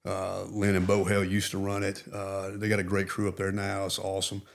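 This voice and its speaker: A man's voice: deep voice, monotone, brisk, vocal fry. A middle-aged man, a retired Marine, talking earnestly and reflectively as a podcast guest, with many "you know" fillers. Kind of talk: casual interview.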